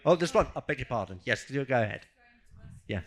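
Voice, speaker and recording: A man with a mid-pitched voice talks as a scientist on a panel. He is informal and conversational, feeling his way through answers with frequent restarts and hesitations. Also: expressive, brisk. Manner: hesitant, self-correcting, conversational. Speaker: scientist on a panel, fielding questions. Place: live agricultural conference panel session.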